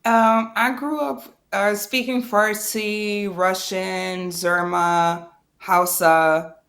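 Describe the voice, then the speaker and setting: A young woman talking as a guest in a casual interview, eager and blunt, quick to agree and not shy about swearing. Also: medium pitch, fairly even intonation, a bit bright. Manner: enthusiastic, quick to agree, plain-spoken with some swearing.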